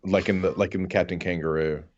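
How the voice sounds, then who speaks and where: A man's voice: sits low, fairly even intonation, fast, a little mellow, muffled recording. A man co-hosting a podcast in casual comedic chat, explaining absurd things in a mock-earnest, matter-of-fact way. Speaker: podcast co-host.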